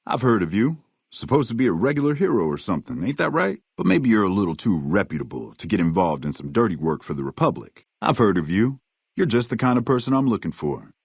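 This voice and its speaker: An American actor playing a gruff, wry, cynical frontier army ranger in a video game, with a folksy, drawling, sardonic manner. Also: male voice, on the low side, very animated, quick pace, no echo, clean studio sound, full character voice. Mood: sizing up, sardonic. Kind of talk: video game dialogue lines.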